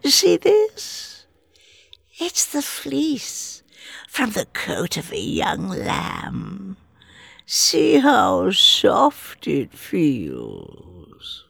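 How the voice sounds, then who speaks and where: A woman's voice: medium pitch, huge pitch swings, very slow, creaky, fairly bright tone, husky, no room sound, clean studio sound, full character voice. An actress playing a wicked fairy disguised as a frail old woman, coaxing and sly with sinister whispers in an audio drama.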